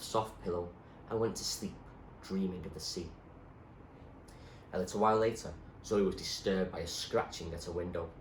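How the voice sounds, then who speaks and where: A man reading a storybook aloud as narrator, in an expressive storytelling style. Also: on the low side. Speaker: story reader. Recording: echoey room with clear background noise.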